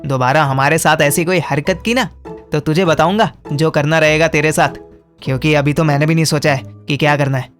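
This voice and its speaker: A man's voice: fairly high pitch, brisk, a bit bright, faint music behind, lightly acted. A man playing a cocky young troublemaker making comically bluffing threats in an audio drama. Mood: cocky threatening.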